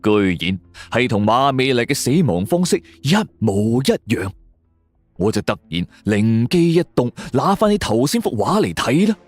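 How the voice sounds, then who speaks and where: A man's voice: mid-range voice, very animated, normal speed, no echo. A man narrating a scripted suspense story in a dramatic way. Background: background music.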